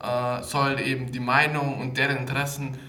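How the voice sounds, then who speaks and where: A man's voice: mid-range voice, flat delivery, normal speed, sharp tone. An eighteen-year-old man answering interview questions as a youth council member in an earnest, emphatic manner. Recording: roomy echo.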